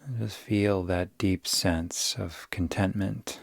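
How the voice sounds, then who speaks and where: Slow, low-voiced man guiding meditation. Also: steady tone, vocal fry, husky, no room sound, clean studio sound. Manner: soothing, unhurried, gentle instruction. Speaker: yoga nidra guide. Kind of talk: guided meditation.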